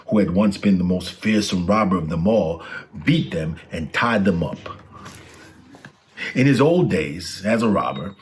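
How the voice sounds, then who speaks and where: A man's voice: sits low, a little hiss or hum. A man reading a children's storybook aloud as a scripted narration.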